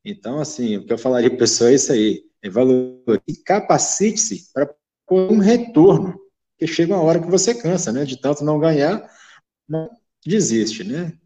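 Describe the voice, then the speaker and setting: A man's voice: a little high, moderate pace, dark tone, lo-fi sound. A man in his thirties, a glazing business owner, talking frankly and chattily on a live stream. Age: 30s. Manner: frank, chatty, many filler "né". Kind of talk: casual live-stream talk.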